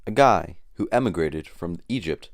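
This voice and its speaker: Teen boy reporter, low and animated, faint noise. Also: normal speed. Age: teens. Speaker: student reporter. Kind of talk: scripted news narration.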